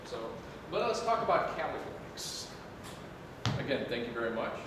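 A man's voice: medium pitch, big pitch swings, normal speed. A middle-aged man giving a casual, joking talk to a meetup group in a meeting room. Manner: chatty, joking, loose. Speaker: presenter. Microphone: far room mic. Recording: echoey room, mic far off, hiss.

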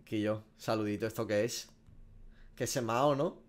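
A man's voice: fairly low pitch, moderate pace. A man, giving casual, profanity-laced reaction commentary as a gaming streamer. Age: 20s. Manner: slangy, profane. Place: small room at home.

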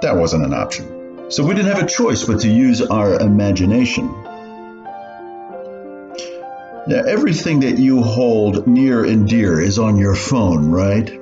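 An actor playing a wry elderly man haltingly recalling his schooldays in a radio play. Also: lowish voice, sing-song. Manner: halting, reminiscing. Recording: muffled, roomy, music underneath.

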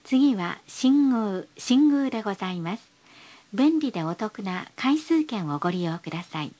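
A woman's voice: mid-range voice, lively intonation, measured pace, mellow. A woman reading scripted bus stop announcements in a polite, formal, carefully enunciated way.

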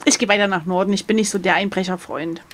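A woman's voice: medium pitch, fairly even intonation, fast talker, fairly bright tone. A woman chatting casually as the player in an adventure game, reacting in a playful, jokey, theatrical way. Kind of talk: casual chat.

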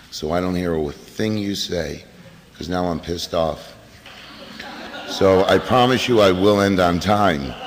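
Middle-aged man, very deep voice, blunt joking storyteller at a meeting, echo of a hall. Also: slight background noise. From US East Coast. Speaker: AA meeting speaker. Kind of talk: recovery talk to a meeting. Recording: hall reverb from a PA.

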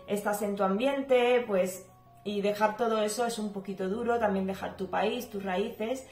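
Chatty woman in an echoey bedroom. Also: mid-range voice. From Cuba. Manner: chatty, talks a lot, frequent fillers. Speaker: home vlogger. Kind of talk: casual Q&A talk to camera. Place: bedroom at home, indoors. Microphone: camera at desk distance. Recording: echoey room with faint music.

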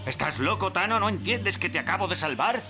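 An actor playing an aggressive poacher boss in a dubbed cartoon, menacing and blustering. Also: male voice, high voice, expressive, fairly quick, bright, forceful tone, faint music behind, heavily acted.

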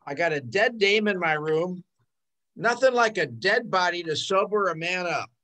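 A man's voice: high voice, lively intonation, moderate pace, sharp tone. An older man playing a shady, tough-talking cheating husband in an old-time radio crime drama, recorded on a video call. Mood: grim, scheming. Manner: slangy tough-guy talk. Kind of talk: 1940s radio drama acting. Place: home room, video call. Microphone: computer mic on a video call.

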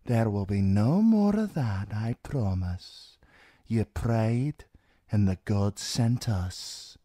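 A man voicing a mocking, menacing, bawdy washerwoman in an audiobook, with drawn-out colloquial taunts. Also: lowish voice, animated, dark tone, dry recording, studio quality, pitched above the performer's own voice. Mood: mock-tender menace. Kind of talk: dramatic audiobook character acting.

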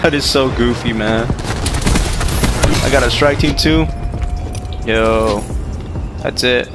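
A young man talks through a shooter match in a slangy, easygoing way and keeps breaking into loud, excited yelling. His voice sits in a middle pitch with a slight morning depth. Game music plays clearly under his voice. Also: takes it slowly. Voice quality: deeper than usual after waking. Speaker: gaming YouTuber. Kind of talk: live gameplay commentary.

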